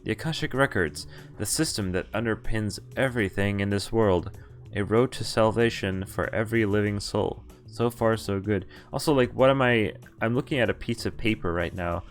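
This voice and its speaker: A man's voice: on the low side, normal speed, soft background music. A man streaming a visual novel, reading the game text aloud in a half-acted way and breaking off for wry, casual asides.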